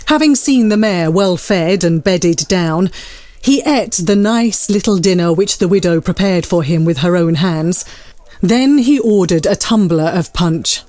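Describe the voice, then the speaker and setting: A woman's voice: fairly low pitch, expressive, measured pace, warm tone, no room sound. A woman narrating a classic story as scripted, dramatised literary storytelling.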